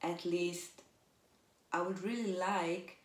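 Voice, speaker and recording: A young woman with a Hungarian accent, a band's lyricist, giving slow, thoughtful interview answers from a sofa. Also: fairly low pitch, fairly even intonation. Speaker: band lyricist. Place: living room on a leather sofa. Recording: echoey room, mic at a distance.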